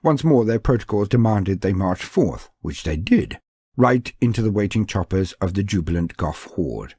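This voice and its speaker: A man's voice: lowish voice, very animated, normal speed, mellow, breathy edge, no echo. A man narrating fictional war history as a scripted, documentary-style lore storyteller.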